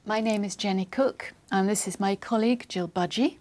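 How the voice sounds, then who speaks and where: Woman, exam interlocutor, formal, measured, scripted questions. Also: medium pitch, darkish tone.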